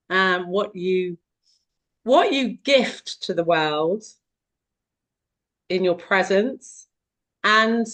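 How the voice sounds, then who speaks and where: A woman hosting a podcast interview, speaking in a halting way as she searches for words. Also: mid-range voice, fairly bright tone. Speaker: podcast host.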